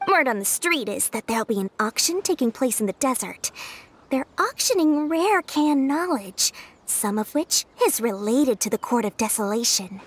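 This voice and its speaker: Small greedy game merchant, high voice, lively swooping pitch, theatrical sales patter, faint music. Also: female voice. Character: small, shrewd, money-loving female merchant, theatrical salesperson. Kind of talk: video game voice acting.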